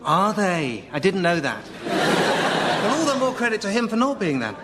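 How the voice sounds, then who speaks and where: Sly, high-voiced, blandly innocent friend. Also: male voice, normal speed, heavy background noise. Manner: deadpan innocence, breezy. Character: slyly jealous friend, blandly innocent, awkwardly insinuating. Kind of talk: radio sketch comedy.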